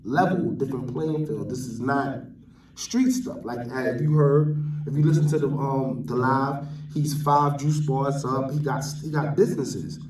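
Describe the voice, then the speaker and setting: A man's voice: medium pitch, a little slow, crowd noise. A man from New York with a New York accent gives an off-the-cuff opinion rant as a vlogger, using street slang and repeating phrases for emphasis. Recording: roomy echo, distant from mic.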